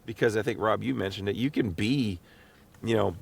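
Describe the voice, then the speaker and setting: A man hosting a casual podcast, talking in a halting, rambling way and repeating words as he thinks aloud. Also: lowish voice, gravelly, husky. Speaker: podcast host and voice actor. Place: small home recording booth.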